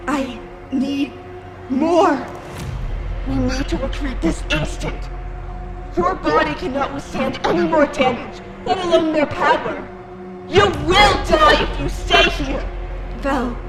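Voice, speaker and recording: Woman playing a desperate high-voiced anime heroine. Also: poor audio. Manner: strained, urgent, dramatic. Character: desperate, stubborn young woman fighter pushed past limits. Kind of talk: anime fan drama acting.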